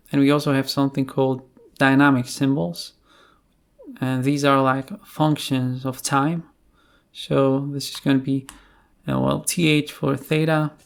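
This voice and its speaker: A man with a creaky, gravelly voice presents a software tutorial. His delivery is slow and quite flat in pitch. He talks it through off the cuff, in short, halting phrases. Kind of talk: unscripted software tutorial narration.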